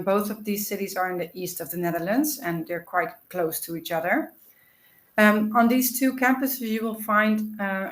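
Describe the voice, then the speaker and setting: A woman hosts an informational webinar and walks listeners through the facts in a friendly, explanatory way. She fills her pauses with "uh" and "um". Her voice sits in the middle of her range and carries a roomy echo. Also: medium pitch. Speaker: webinar host.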